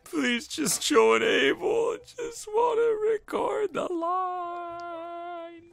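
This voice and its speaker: A man's voice: very high voice, takes it slowly, gravelly, a bit bright. A man recording amateur drama lines in repeated takes, sounding exasperated. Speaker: amateur voice actor in a fan audio drama.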